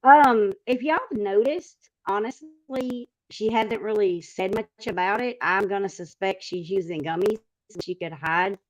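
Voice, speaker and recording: A woman's voice: mid-range voice, measured pace, rough recording. A woman chatting casually as a panel host who reads out and answers viewer comments. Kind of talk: casual chat.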